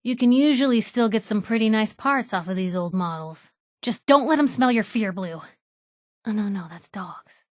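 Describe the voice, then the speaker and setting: A woman's voice: medium pitch, expressive, normal speed, darkish tone, dry recording, studio quality, natural voice. An actress playing a plucky young woman reporter, a video game companion with a wry, conversational, sardonic way of talking. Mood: wry, chatty.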